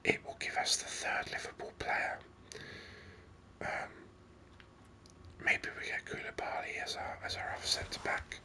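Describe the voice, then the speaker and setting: Male, breathy whisper, very bright sibilant tone, ASMR gaming commentary, unhurried, musing aloud. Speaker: video game streamer.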